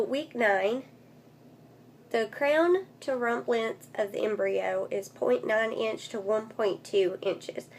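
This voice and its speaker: A woman vlogger with a congested, nasal voice, recovering from losing it, speaking in a casual update style and recorded on a phone. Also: on the high side. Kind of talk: casual update vlog, reading aloud from a book. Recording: clear background noise, phone recording.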